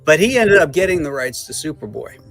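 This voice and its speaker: A man in a casual panel chat, explaining history in a halting way. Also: on the high side, very animated, fairly bright tone, soft background music.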